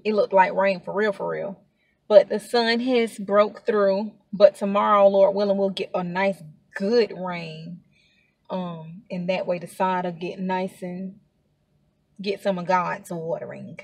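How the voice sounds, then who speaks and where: A woman's voice: mid-range voice, dry recording. A woman in her thirties chatting casually to camera from inside a parked car, rambling with asides and filler words. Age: 30s. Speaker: vlogger.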